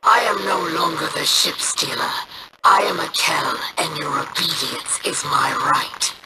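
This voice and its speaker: A woman voicing an imperious, threatening alien pirate queen in a video game, recorded over loud background noise. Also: low voice, measured pace. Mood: menacing.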